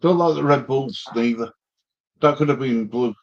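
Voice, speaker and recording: A man's voice: medium pitch, normal speed, muffled recording. A man co-hosting a casual football chat, talking in an easygoing, agreeable way. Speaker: co-host.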